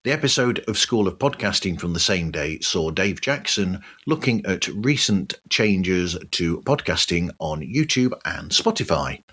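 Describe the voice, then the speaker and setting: A man hosting a podcast, reading a scripted news roundup in a measured, deliberate way. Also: low voice, big pitch swings, fairly bright tone, husky. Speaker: podcast host.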